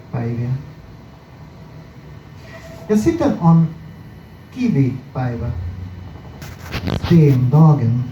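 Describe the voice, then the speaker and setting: A man reading his own poem at a live indoor performance, deliberately and in short phrases with frequent pauses. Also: medium pitch, echoey room. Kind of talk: poetry recital, performance reading. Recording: muffled, noisy live recording from far off.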